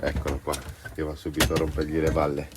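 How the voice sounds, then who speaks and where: Guy w doing a travel vlog, informal n playful, outside w clear ambient noise. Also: very deep voice, monotone, quick pace. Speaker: vlog host. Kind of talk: casual travel vlog chat to camera. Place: outdoors in a mountain valley.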